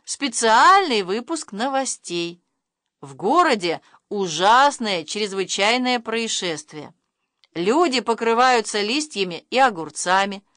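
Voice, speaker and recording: A woman reading a storybook news bulletin as a slow, formal TV announcer. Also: a little high, lively intonation, a bit bright, no room sound, studio quality. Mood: solemn.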